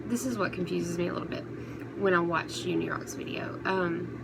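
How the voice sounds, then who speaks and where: A woman giving casual, unscripted commentary on online drama, speaking in halting phrases that trail off and restart. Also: on the low side. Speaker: commentary vlogger. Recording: clearly noisy recording, background ambience.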